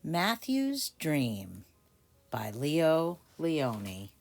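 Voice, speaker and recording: Low-voiced woman reading a children's story, unhurried. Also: wildly swooping pitch, vocal fry, soft background music. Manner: deliberate, dramatic phrasing for small children. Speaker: story time reader. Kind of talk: expressive children's storybook narration.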